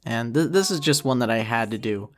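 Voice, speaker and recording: A man's voice: mid-range voice, expressive, fairly quick, fairly bright tone. A man giving casual, unscripted commentary as the creator of a series, rambling and thinking aloud. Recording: music behind the voice. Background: music and audio of the episode.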